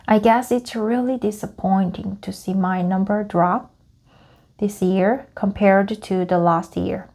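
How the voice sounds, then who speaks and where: Slow, gravelly woman reading aloud. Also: mid-range voice, fairly even intonation, a little mellow. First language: Korean. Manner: halting, repeats phrases and self-corrects. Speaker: English learner. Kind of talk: reading a script aloud for practice.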